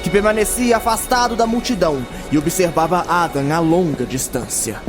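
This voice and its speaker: A man reads as the narrator of a fantasy audio drama. His voice is pitched high for a man. He tells the tale from a script, with music clearly underneath. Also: lively intonation, normal speed.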